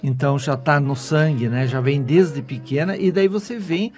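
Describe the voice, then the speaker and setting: A man's voice: fairly high pitch, moderate pace, a little mellow. A man in his sixties with a Brazilian accent, hosting a radio interview on a studio microphone. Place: small foam-padded radio studio. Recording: music behind the voice. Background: music.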